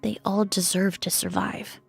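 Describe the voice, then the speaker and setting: A woman playing a composed, gently rational adult crime-scene cleaner in a video game, speaking in a measured, soothing, articulate way. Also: mid-range voice, level tone, creaky, faint music behind. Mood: earnest resolve. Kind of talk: scripted video game voice acting.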